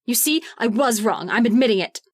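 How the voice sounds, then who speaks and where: An actress playing an earnest, indignant, well-bred sister in an old-time radio drama, speaking insistently. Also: female voice, a little high, expressive, brisk. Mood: indignant, rueful.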